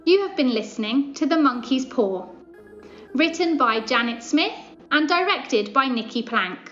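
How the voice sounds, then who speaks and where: Higher-voiced woman announcer over music. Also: expressive, measured pace, fairly bright tone, dull audio. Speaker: narrator and announcer. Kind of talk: scripted radio play narration.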